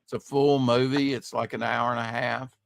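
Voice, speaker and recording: A male podcast host with a slightly raspy voice, chatting casually in a rambling way, full of fillers and trailing off. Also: mid-range voice, little pitch movement, normal speed. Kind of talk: casual chat.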